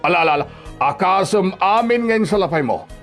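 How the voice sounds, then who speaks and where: Man acting a high-pitched, nagging Ilocano father-in-law. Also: expressive, a little fast, fairly bright tone. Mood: angry, nagging. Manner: scolding, exclaiming, sarcastic questions. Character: nagging, scornful father-in-law berating his daughter-in-law as lazy. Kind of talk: Ilocano radio drama acting. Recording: music bed behind the dialogue.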